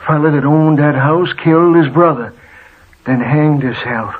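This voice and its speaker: An actor playing a fearful, stubborn small-town American local telling ominous tales in folksy 1940s radio drama style. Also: male voice, a little high, unhurried, husky. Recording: tinny, muffled old radio recording.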